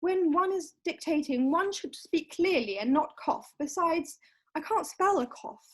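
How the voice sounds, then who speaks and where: A woman's voice: very high-pitched, expressive, unhurried. A woman reading a sweet, self-possessed and romantic young lady in an amateur play read-through on a video call. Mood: sweet, prim.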